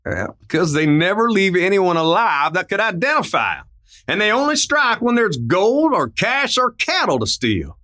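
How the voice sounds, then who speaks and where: A man's voice: high-pitched, big pitch swings, normal speed, bright, forceful tone, exaggerated voice. A man playing a friendly, loyal Old West sheriff in a radio drama, with a folksy drawl full of frontier slang. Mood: puzzled, excitable. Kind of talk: old-time western radio play acting.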